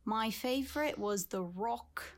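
A woman doing a slow deadpan Russian guest. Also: on the high side, natural voice. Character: deadpan female Russian guest with dark humor. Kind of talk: improvised comedy sketch.

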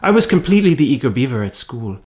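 A man's voice: medium pitch, very animated, fast talker, darkish tone, pitched above the performer's own voice, close to an everyday voice. A man voicing an earnest, bookish young witch, an eager know-it-all girl, with emphatic, precise speech in a story.

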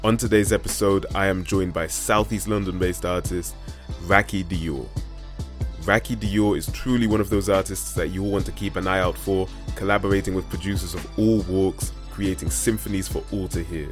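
A man's voice: deep voice, fairly even intonation, normal speed, faint music behind. A man hosting a casual podcast interview, chatty and laid-back with plenty of slang. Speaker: podcast host.

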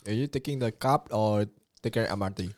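A man's voice: fairly low pitch, fairly quick, darkish tone, no room sound. A man from Singapore with a Singaporean English accent, co-hosting a casual chat, blunt and teasing. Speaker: podcast co-host.

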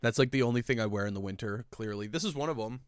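A man's voice: medium pitch, quick pace, smooth, dry recording. Easygoing young man, dry studio mic. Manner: easygoing, laughs a lot, filler-heavy. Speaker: podcast host and editor. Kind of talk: casual chat.

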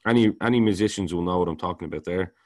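A man with a baritone voice, talking casually as a podcast co-host and rambling, often repeating words while he searches for them. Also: racing, darkish tone. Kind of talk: casual music review chat.

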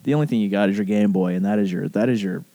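A man from the rural American South telling a personal story casually, in animated, rambling speech full of profane slang. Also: lowish voice, rapid-fire, no echo. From southern United States, rural Alabama.

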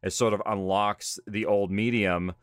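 A man's voice: lowish voice, fairly even intonation, a little slow, fairly bright tone, clear, resonant tone, no echo, clean studio sound. A man speaking in a documentary interview as a writer, director and creator of audio drama. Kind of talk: documentary interview about their own work.

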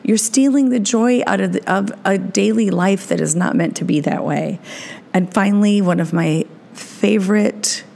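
A woman hosting her weekly podcast, talking casually in an encouraging, rambling way, her voice gravelly and tired. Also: mid-range voice, moderate pace, dark tone. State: exhausted. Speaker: podcast host. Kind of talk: casual solo podcast talk.

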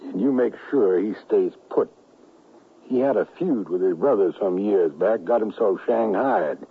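An actor playing a suspicious, authoritative leader of a Confederate conspiracy in a radio western, briskly giving orders. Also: male voice, lowish voice, slightly rough, exaggerated voice. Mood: commanding. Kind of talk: old-time radio western acting. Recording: muffled, narrow-band 1970s radio drama recording.

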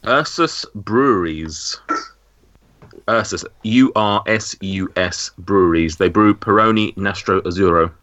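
A man's voice: on the low side, measured pace, a bit bright, muffled recording. A man hosting a casual quiz among friends, reading out questions, spelling names letter by letter and correcting his own slips. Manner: reads questions, spells words out, apologetic slips. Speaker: quizmaster.

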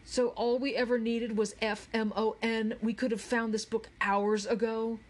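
A woman's voice: lowish voice, lively intonation, moderate pace. A woman voicing a bossy, impatient, scornful boy in a children's audiobook, snappish and grumbling. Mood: scornful, irritated.

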